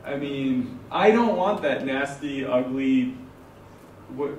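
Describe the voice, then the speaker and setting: Loud guy ranting at a meetup, far from the mic, echoey room with faint noise. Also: medium pitch, slow. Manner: loud, animated, talks in bursts. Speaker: meetup attendee. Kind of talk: casual group discussion.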